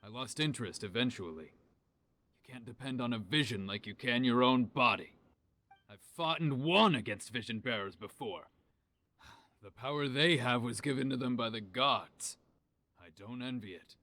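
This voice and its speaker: A man playing an earnest, disciplined young fighter in a video game, speaking in a measured way. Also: medium pitch, bright, forceful tone, no room sound, natural voice. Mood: reflective. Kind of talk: video game character acting. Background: game music.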